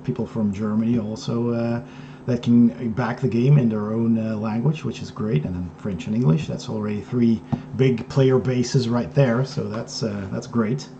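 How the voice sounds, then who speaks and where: Mellow-voiced male interview host. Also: mid-range voice, moderate pace, hardly any pauses, highs cut off, a little hiss or hum. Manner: relaxed, with short backchannel agreements. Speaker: podcast host. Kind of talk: casual interview conversation.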